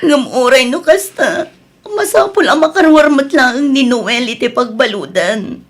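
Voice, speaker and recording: A woman's voice: high-pitched, lively intonation, a little slow. A woman playing a distraught pregnant young wife and anxious daughter in a radio drama, with a sobbing delivery. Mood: worried, pleading. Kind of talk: Ilocano radio drama acting.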